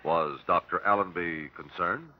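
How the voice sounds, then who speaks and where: An actor playing a persistent examiner at an inquest, asking formal, clipped questions in an old radio drama. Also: male voice, low-pitched, unhurried. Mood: probing, brisk. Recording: dull, dry old radio recording.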